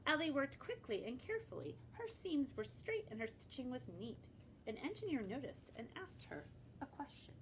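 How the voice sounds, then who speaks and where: Woman, children's read-aloud, librarian, fairly high pitch, very animated melody, explanatory asides, some background noise. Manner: expressive, pausing to explain and prompt young listeners.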